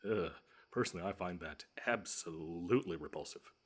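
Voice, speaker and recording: Audio drama: pompous, grumbling male bed monster, man performing, low rough register, sardonic and menacing. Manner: pompous, wordy, drawn-out menace with dry sarcasm. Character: grumpy, pompous male monster lurking under a child's bed. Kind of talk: scripted audio roleplay monologue.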